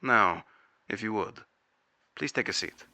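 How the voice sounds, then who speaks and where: A man's voice: lowish voice, animated, a little slow, bright, forceful tone, a little hiss or hum, exaggerated voice. A man playing a formal, probing intelligence agent who takes testimony in an audio drama. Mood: formal, courteous. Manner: formal, inquisitive. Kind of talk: audio drama interrogation dialogue.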